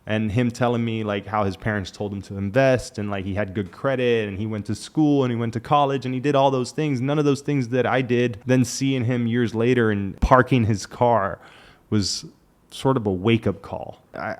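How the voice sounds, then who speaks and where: A man in his late thirties talking frankly to camera in a casual, reflective monologue, with lots of "like" in his speech. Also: mid-range voice. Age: 30s. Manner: frank and conversational, peppered with "like". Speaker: vlogger.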